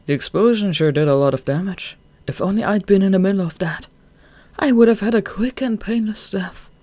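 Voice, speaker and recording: A man doing a high, teasing young joker with a morbid streak. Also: fairly quick, warm tone, no room sound, lightly acted. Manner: light, teasing, feigns trembling fear. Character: playful, morbid young man who jokes about dying.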